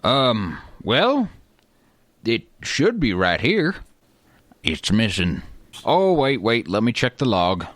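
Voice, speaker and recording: A man's voice: mid-range voice, very animated, slow, long pauses, fairly bright tone, dry recording. Man playing a pompous, swooping Old West tool braggart. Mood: smug, self-important. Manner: boastful, grandiose, over-precise wording. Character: pompous, fussy male Old West tool collector and rival. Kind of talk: hammy comedy radio play acting.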